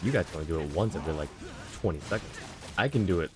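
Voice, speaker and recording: A man's voice: deep voice, animated, racing. A man giving casual live gameplay commentary as a streamer, playfully muttering reactions as he goes, with music behind him. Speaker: video game live streamer.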